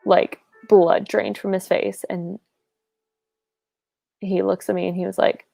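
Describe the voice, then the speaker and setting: A woman's voice: mid-range voice, flat delivery, slow pace, vocal fry, mellow, dry recording, soft background music. A woman telling a funny ghost story in a rambling, casual way, full of "like", over a video call. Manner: rambling, lots of "like".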